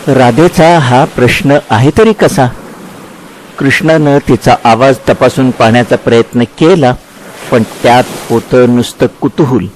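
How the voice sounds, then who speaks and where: A male narrator reads literary prose dramatically, with measured, expressive phrasing. His pitch is middling, and faint ambient noise sits behind the voice. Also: low-quality recording.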